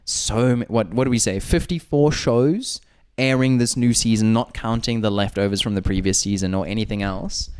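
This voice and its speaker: A man's voice: on the low side, smooth. A young man co-hosting a podcast, rambling and teasing his friends in casual banter. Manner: rambling, teasing, quick asides. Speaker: podcast co-host.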